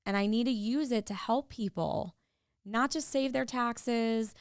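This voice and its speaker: Gravelly-voiced earnest woman guest. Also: a little high, a little slow, no room sound, studio quality. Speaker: podcast guest, tax professional. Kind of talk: casual interview chat.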